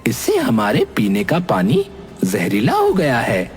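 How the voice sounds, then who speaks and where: A man's voice: mid-range voice, wildly swooping pitch, measured pace. A man voicing a friendly, indignant cartoon ghost with an earnest, dramatic, pleading way of talking. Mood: cheeky. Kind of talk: animated cartoon character acting. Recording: music bed under the voice.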